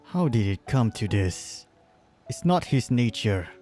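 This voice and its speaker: A man giving casual, halting gameplay commentary with wry asides, close to the mic. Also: on the low side, big pitch swings, warm tone, soft background music. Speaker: game livestreamer.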